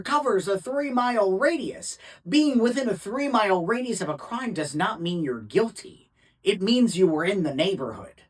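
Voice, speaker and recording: Guy playing a defense lawyer working a jury, emphatic w/ big pauses, raspy, bit far from the mic. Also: sits high, heavily acted. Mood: insistent. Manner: emphatic, deliberate rhetorical pauses and repetition. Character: impassioned male defense lawyer addressing a jury. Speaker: actor performing a monologue. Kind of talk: dramatic courtroom closing-argument monologue.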